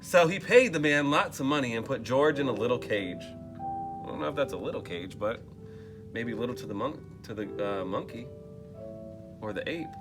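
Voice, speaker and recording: A man's voice: medium pitch, lively intonation, moderate pace, over music. A man reading a picture book aloud to children, expressively, dropping into casual muttered asides and questions for his listeners. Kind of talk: animated storybook read-aloud with casual asides.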